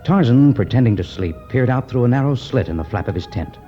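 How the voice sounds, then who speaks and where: A man narrating a 1950s radio adventure serial in a measured, dramatic style. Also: mid-range voice, animated, mellow. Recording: muffled 1951 radio recording with music.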